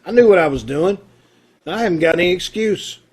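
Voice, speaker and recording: A man's voice: high-pitched, animated, a little fast, a little mellow, breathy edge. A man acting a stubborn, unrepentant condemned murderer in an old-time radio play, plain-spoken and stoic. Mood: firm, unrepentant. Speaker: amateur radio-troupe actor.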